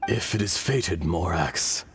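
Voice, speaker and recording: A man's voice: low voice, expressive, slow, vocal fry, fairly bright tone, husky, exaggerated voice. A man playing a dying, resigned ancient being in a video game, grave and sighing. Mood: resigned farewell. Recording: music playing behind the voice.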